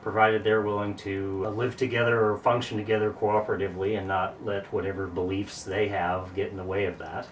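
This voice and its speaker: Man, 50s, dry terse deadpan dad, recorded from a distance. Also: lowish voice, fairly quick, few pauses. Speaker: interviewee, the host's father. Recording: distant mic with faint hiss.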